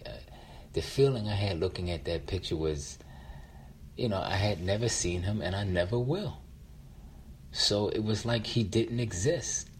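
A middle-aged man shares a personal story in a deep voice. He is reflective and draws out phrases for dramatic weight. There is faint noise behind him. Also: darkish tone. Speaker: featured storyteller.

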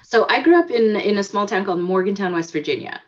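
A woman's voice: mid-range voice, animated, fast talker. A woman from the United States, a guest in a casual interview, talking reflectively with many fillers and restarts. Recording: dull lo-fi audio.

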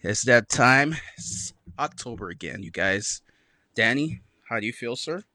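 A man co-hosting a podcast in casual chat, his voice a bit raspy and stuffy from a sore throat. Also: medium pitch, a little slow, dry recording. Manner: relaxed, laid-back, many fillers. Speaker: podcast co-host.

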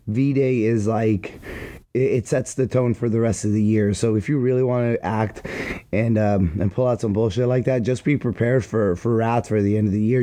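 Man, podcast host, slightly hoarse, mellow tone, rambling hype-man banter. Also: medium pitch. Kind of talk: casual solo podcast monologue.

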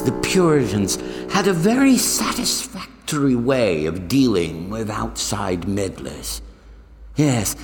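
A man's voice: mid-range voice, exaggerated voice. An actor playing a gloating, vengeful madman in an old-time radio thriller, with a menacing, theatrical delivery. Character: gloating vengeful madman villain, a fanatical torturer and murderer.

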